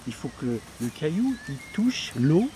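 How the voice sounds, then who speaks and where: A man's voice: fairly high pitch, sing-song, measured pace, warm tone, a little hiss or hum. A young man playing a sceptical, questioning wartime fugitive in a radio drama. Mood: instructing. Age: young adult.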